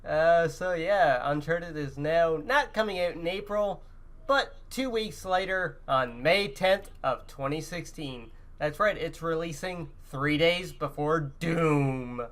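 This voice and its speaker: A man's voice: on the high side, takes it slowly, a bit bright. A man co-hosting a podcast in a casual, chatty gaming-news style. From Canada. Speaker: podcast co-host.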